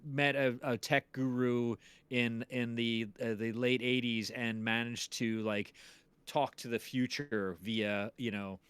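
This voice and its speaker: A man hosting a casual chat in halting, wandering sentences. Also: medium pitch, fairly even intonation, fairly bright tone, clear, resonant tone, no echo. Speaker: show host.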